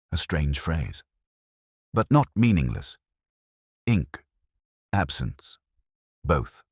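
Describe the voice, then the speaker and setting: Very deep-voiced brooding male narrator. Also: slightly rough, dry recording, clean studio sound. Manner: measured, brooding, deliberate pauses. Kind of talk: first-person detective story narration.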